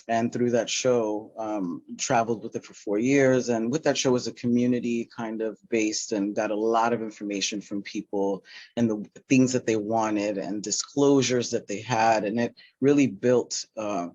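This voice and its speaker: A man speaking on a panel talk as a nonprofit founder, halting, with many fillers and restarts. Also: on the low side, darkish tone, no room sound, dull audio.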